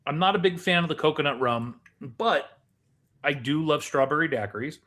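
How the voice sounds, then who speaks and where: A man's voice: fairly high pitch, normal speed, fairly bright tone. A man talking casually as the co-host of a chat podcast.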